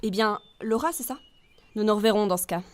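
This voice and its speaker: A woman's voice: medium pitch, a little fast, natural voice. An actress playing a poised, formally polite woman with an air of intrigue, in an audio drama. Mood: polite, breezy.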